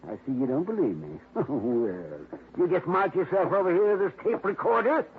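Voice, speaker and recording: A fussy, excitable dog owner. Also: male voice, sits high, moderate pace, a little hiss or hum. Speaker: dog owner reporting a theft. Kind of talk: 1950s radio drama acting. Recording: tinny 1950s radio broadcast.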